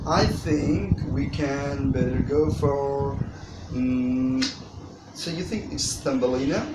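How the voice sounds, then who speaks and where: Adult storyteller, hesitant and wordy, casual delivery to a child, distant mic with clear noise. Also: medium pitch. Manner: hesitant, wordy, frequent long pauses. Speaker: storyteller guest reading to a child. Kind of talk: casual unscripted introduction to storytelling. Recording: echoey room, distant mic, noisy.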